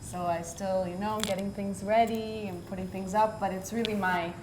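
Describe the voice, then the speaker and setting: A woman's voice: steady tone, moderate pace. American woman in an echoey, noisy room. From United States. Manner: conversational, with frequent "you know" and "like". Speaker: guest storyteller and host of the evening at home. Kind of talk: informal personal storytelling to a small group. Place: open-plan room at home. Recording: echoey room with clear rumbling noise.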